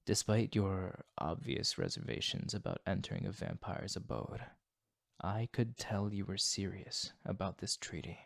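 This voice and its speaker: A man as a slow, low, brooding male vampire. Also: vocal fry, dry recording, clean studio sound, heavily acted. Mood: earnest, regretful. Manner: solemn, formal, measured, hushed. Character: brooding, remorseful male vampire fighting his bloodlust. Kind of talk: intimate ASMR roleplay monologue.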